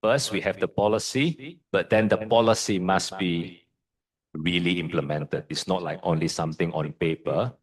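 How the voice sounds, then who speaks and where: Low husky man with an Indonesian accent. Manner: measured, hesitant, many fillers and restarts. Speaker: guest lecturer. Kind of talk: answering audience questions at a lecture.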